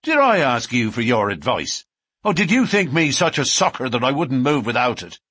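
A man reading an audiobook, voicing a surly, defiant young man who shouts back in anger. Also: medium pitch, sing-song, moderate pace, breathy edge, no room sound, studio quality, voice pushed up, exaggerated voice. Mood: furious. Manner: loud and fierce, shouting.

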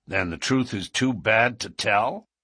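A man voicing a menacing, gruff lodge boss in an audiobook, blunt and threatening as he interrogates. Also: fairly low pitch, measured pace, sharp tone, dry recording, clean studio sound, exaggerated voice. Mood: suspicious. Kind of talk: audiobook character dialogue.

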